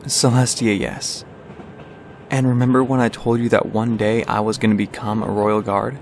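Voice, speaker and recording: A man voicing a young pony, a gentle, protective big brother who speaks in a soft, reassuring way in a story. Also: on the low side, flat delivery, normal speed, gravelly, a little hiss or hum. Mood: encouraging. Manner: soft, encouraging, caring.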